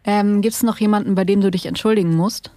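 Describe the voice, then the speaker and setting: A woman's voice: mid-range voice, fairly even intonation, fast talker, mellow, no echo, clean studio sound. A woman hosting a casual interview podcast, speaking in an informal, colloquial way.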